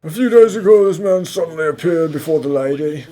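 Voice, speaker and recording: A man's voice: on the high side, lively intonation, brisk, warm tone, natural voice, low-quality recording. A man voicing a stern, protective older butler with a stiff, formal, scolding manner in a cartoon fan dub. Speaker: voice actor in amateur cartoon dub.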